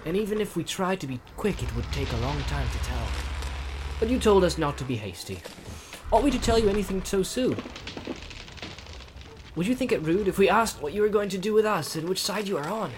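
A man's voice: sits high, big pitch swings, a little fast, background noise. A man voicing a polite, earnest young male hobbit who asks careful questions. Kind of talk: audiobook character reading.

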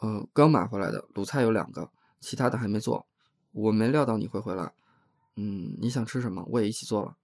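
An actor playing a considerate, slightly awkward young working man in an audio drama, with gentle, hesitant, natural delivery. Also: lowish voice, brisk. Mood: awkward, polite. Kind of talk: Chinese audio drama acting.

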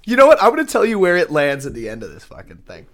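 Animated swearing podcast joker. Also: male voice, high-pitched, fast. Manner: crude, mock-grand wisecracks, swearing. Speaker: podcast co-host. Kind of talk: casual comedic podcast chat.

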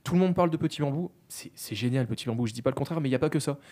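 A man's voice: medium pitch, rapid-fire, darkish tone. A man co-hosting a podcast, chatting casually and at length, with many fillers and asides. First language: French. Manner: talkative, self-deprecating, many fillers. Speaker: podcast co-host.

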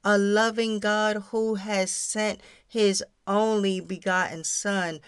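Slow, earnest woman preaching. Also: medium pitch, little pitch movement, clean tone, dry recording, clean studio sound. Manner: earnest, exhortatory, addresses listeners as friends. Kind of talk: devotional talk and prayer.

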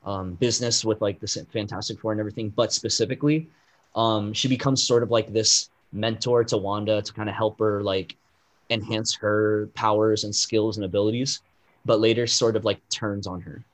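A man's voice: on the low side, moderate pace. Rambling casual man chatting on a podcast. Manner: rambling, heavy on "like" and "um" fillers; gets loud and animated when riled up. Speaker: podcast co-host. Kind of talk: casual pop-culture chat.

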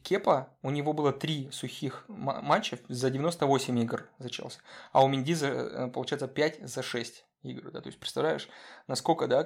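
A man's voice: medium pitch, gravelly, husky. A man co-hosting a casual football podcast, talking with many fillers and restarts. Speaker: podcast co-host.

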